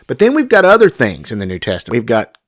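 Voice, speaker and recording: Lively man in his forties, dry close sound. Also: medium pitch, fast talker, no echo. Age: 40s. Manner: self-described young whiny voice with a country twang. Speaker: podcast co-host. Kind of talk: casual chat on Bible interpretation.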